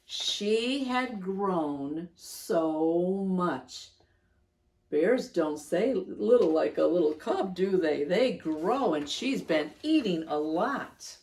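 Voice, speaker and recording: A woman storyteller reading a picture book expressively to young children, stretching words for emphasis and pausing to ask them questions. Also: on the low side, lively intonation, darkish tone.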